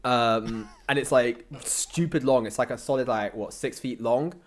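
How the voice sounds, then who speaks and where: A man's voice: mid-range voice, unhurried. A young man chatting casually as an interview guest, rambling along in a slangy, jokey way. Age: young adult. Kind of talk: casual chat.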